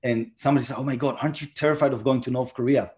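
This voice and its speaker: A man's voice: medium pitch, steady tone, very fast, warm tone. A man in his forties with an Israeli accent, answering interview questions over a video call from home, with many fillers and restarts. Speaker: guest diplomat. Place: home room indoors.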